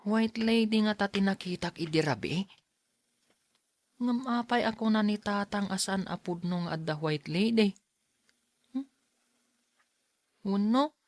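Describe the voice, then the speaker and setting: A timid schoolboy, acted by a woman in an audio drama, polite and easily frightened. The delivery is hesitant and bashful, at a middling pitch, recorded close and dry. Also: no echo, natural voice.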